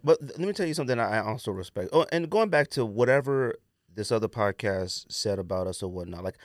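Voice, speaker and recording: A man's voice: lowish voice, lively intonation, fairly quick, no echo, studio quality. A man co-hosting a podcast, talking casually and giving his opinions in an emphatic, slangy way, often repeating himself for stress. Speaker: podcast co-host.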